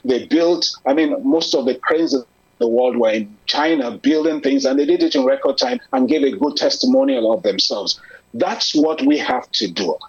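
A man in conversation, speaking in an earnest, measured, persuasive way. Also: medium pitch, lively intonation, slightly rough. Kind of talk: conversational discussion. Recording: lo-fi muffled audio.

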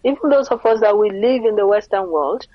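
A woman's voice: medium pitch, animated, brisk, no echo, dull audio. A middle-aged Nigerian woman with a Nigerian accent, speaking earnestly as an interview guest and often asking rhetorical questions. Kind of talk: conversational interview.

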